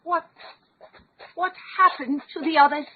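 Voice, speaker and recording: Very high, anxious radio-serial heroine. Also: female voice, unhurried. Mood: uneasy. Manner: earnest, dramatic, urgent. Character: anxious young heroine of a jungle adventure. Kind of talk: old-time radio melodrama acting. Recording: tinny band-limited radio recording, faint noise.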